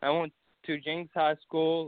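A man's voice: on the high side, monotone, normal speed, smooth, no echo. A young man with an Arabic accent, a guest in a casual interview, talking hesitantly with many fillers and restarts. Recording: phone-like narrow sound, dry.

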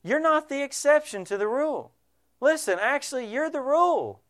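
A man's voice: very high-pitched, animated, moderate pace, a bit bright. A male pastor preaching a sermon, earnest and direct, addressing the congregation with frequent rhetorical questions.

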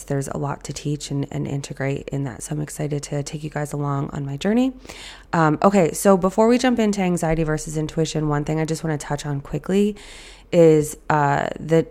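A woman hosts her podcast in a loose, chatty way, talking on with plenty of ums and restarts. Her voice is low, raspy and gravelly with fry, hoarse after being ill. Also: darkish tone. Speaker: podcast host. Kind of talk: casual solo podcast talk.

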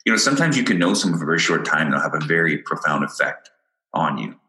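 A man's voice: a bass voice, sing-song, fast, sharp tone, roomy sound. A man raised in Canada, a podcast guest, talking earnestly and with enthusiasm, often restarting and repeating words. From born in Ireland, raised in Ontario, Canada. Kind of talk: casual interview chat.

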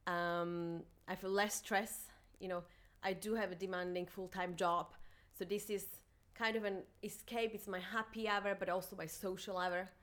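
A woman's voice: medium pitch, fairly even intonation, moderate pace, a bit bright. A woman in her thirties from Slovakia, chatting casually as a guest in an interview. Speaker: podcast guest.

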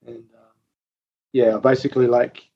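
A man, a musician guest telling tour stories in casual interview chat, relaxed and full of ums and the odd swear. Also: medium pitch, unhurried, dry recording. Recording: slightly dull dry recording.

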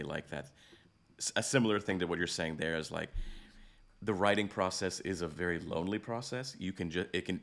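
A man's voice: deep voice, gravelly, a bit bright. A man hosting a conversational interview, thoughtful and reflective, repeating and rephrasing as he goes. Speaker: podcast host, interviewer.

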